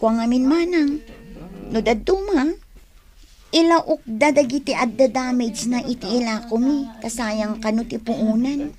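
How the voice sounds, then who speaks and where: Polite, earnest younger working woman played by an actress, bright high register, radio drama. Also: a little slow, close to an everyday voice. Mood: aggrieved. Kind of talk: Ilocano radio drama acting.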